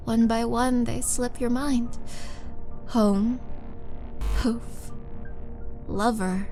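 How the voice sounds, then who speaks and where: A woman playing a vengeful, seductive monster warrior in a wistful, theatrical roleplay monologue, with dramatic pauses. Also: a little high, creaky, mellow, poor audio.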